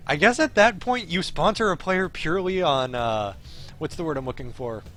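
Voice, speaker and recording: A man's voice: fairly high pitch, lively intonation, normal speed, a bit bright. A man reading a visual novel aloud on a stream, in dramatic narration with an esports commentary flavour. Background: faint game music.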